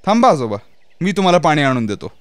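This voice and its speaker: A man voicing an eager, polite, naive young villager in a children's fairy-tale cartoon, earnest and excitable in his delivery. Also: fairly high pitch, fast talker, smooth, no room sound. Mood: helpful, earnest. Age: young adult.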